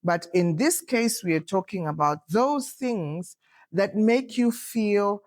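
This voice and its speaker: A woman in her fifties hosting a podcast, speaking slowly and deliberately. Her voice is loud and assertive, and she talks in a thoughtful, reflective way with frequent pauses. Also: mid-range voice, expressive. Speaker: podcast host. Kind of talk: reflective conversational talk.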